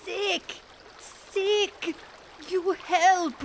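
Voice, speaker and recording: Desperate village woman, played by an actress, broken pleading speech, high and very slow, faint noise. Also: steady tone, exaggerated voice.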